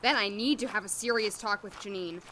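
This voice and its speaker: A woman's voice: medium pitch, fairly quick, pushed and bright, slight background noise, close to an everyday voice, poor audio. A woman playing a blunt, idealistic doctor in an audio drama, with natural, conversational delivery. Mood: musing, determined.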